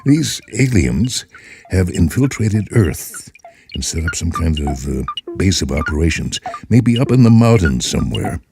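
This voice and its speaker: A man's voice: deep voice, very animated, unhurried, over music. A man voicing a broke, anxious male writer in a story, hesitant and muttering as he thinks aloud. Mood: excited brainstorming.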